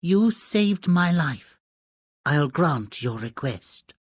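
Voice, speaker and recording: A man's voice: on the high side, very animated, husky, dry recording, clean studio sound, full character voice. A man voicing a solemn, portentous magic fish that grants wishes in a fairy-tale game. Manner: slow, portentous.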